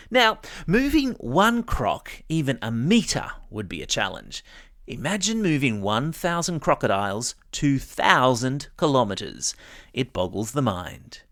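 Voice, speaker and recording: A man's voice: on the high side, a bit bright, no echo, clean studio sound. An Australian man hosting a news podcast for children, reading scripted stories in a chatty, playful way and speaking straight to young listeners. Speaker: podcast host.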